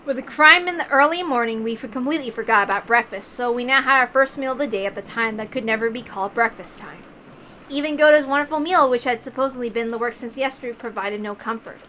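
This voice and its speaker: A woman's voice: fairly high pitch, quick pace, bright, forceful tone, slight background noise. A woman reading a visual novel aloud as a narrator, telling the story dramatically. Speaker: story reader.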